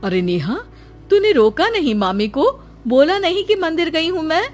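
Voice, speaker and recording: A woman's voice: high-pitched, lively intonation, normal speed, darkish tone, soft background music, pitched above the performer's own voice. A woman voicing a kindly, fretful mother-in-law in a cartoon story. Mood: upset. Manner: fretful, pleading.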